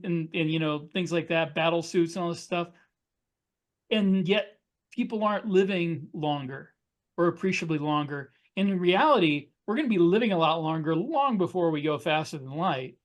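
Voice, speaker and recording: Middle-aged man, notably high voice, casual podcast co-host, opinionated explainer. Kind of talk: casual panel discussion.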